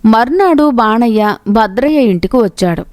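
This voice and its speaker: A woman narrates a moral tale from a script. Her voice sits a little high. The sound is dry, with very little room on it. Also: expressive, normal speed, a little mellow, dry recording. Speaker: storyteller narrator.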